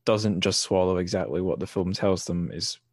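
A man's voice: low voice, moderate pace, dry recording, studio quality. A man hosting a podcast, talking casually and thoughtfully as he works through his opinions. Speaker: podcast host. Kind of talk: casual discussion of books and film.

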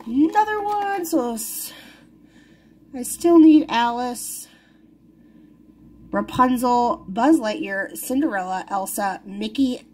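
A woman's voice: high voice, slight background noise. A woman chatting casually while unboxing toys at a table, easily delighted and giggly. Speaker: toy unboxing vlogger. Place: indoors at a wooden table. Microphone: camera over the table, hands-only.

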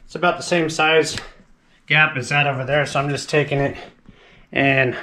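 A man's voice: on the high side, fairly even intonation, moderate pace, pushed and bright, a little hiss or hum. Man explaining a DIY build as he works. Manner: plain step-by-step explaining while working with tools. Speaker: channel host. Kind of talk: casual how-to narration. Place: driveway outdoors, then house kitchen floor. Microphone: body-worn action camera.

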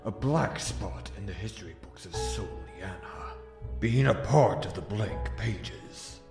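Slow, gravelly, sinister shadow villain. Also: male voice, sits low, expressive, exaggerated voice. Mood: smug menace. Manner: slow, menacing, taunting, theatrical delivery. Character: sinister, gloating dark shadow hedgehog villain, male. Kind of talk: scripted fan comic dub. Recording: echoey voice over background music.

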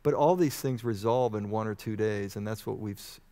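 A man in his fifties with a fairly low voice gives expert answers in an interview. He explains medical matters in measured, halting phrases, speaking into a headset mic on stage. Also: mellow. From Houston, Texas, United States. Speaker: medical expert guest, vaccine researcher. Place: on stage in a church auditorium.

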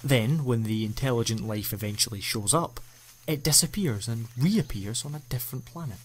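A man's voice: medium pitch, normal speed, breathy edge, natural voice, poor audio. An actor playing an eccentric, ancient male alien time traveller, enthusiastic and playfully self-mocking, in a fan audio drama. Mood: explaining.

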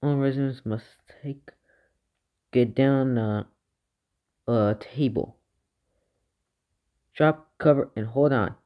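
A man voices fictional emergency alerts in a mid-range voice. He reads like an improvising amateur announcer, halting often and correcting his own slips as he issues official-style instructions. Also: medium pitch, muffled recording. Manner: halting delivery, stumbling and correcting himself.

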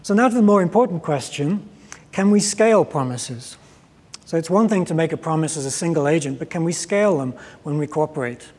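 Male keynote speaker, middle-aged, slightly high-pitched and husky, measured explanatory delivery, big reverberant hall. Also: darkish tone. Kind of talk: conference keynote, explanatory lecture. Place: large conference stage, indoors. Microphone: clip-on lapel mic.

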